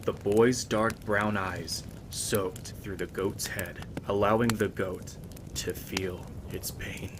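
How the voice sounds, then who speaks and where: A man voicing a grim lone bard, narrating a dark fantasy tale in the first person with dramatic weight. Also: lowish voice, noisy background. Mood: grim, intense. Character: grim lone male fantasy bard recounting his bloody past.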